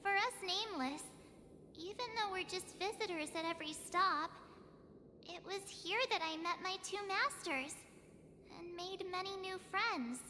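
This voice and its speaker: A woman's voice: extremely high pitch, unhurried, pushed and bright, slight background noise, full character voice. A woman playing an earnest teenage girl adventurer in an anime game, with an open, girlish delivery. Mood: earnest, hopeful. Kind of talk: scripted anime game voice acting. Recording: long reverb, game music behind.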